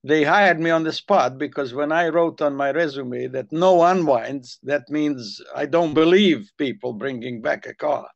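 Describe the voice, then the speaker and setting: A man's voice: on the high side, unhurried, creaky, muffled recording. An older man with a Hungarian accent, telling long rambling stories in a casual chat. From Ukrainian Soviet Republic. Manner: rambling storyteller, repeats words when restarting.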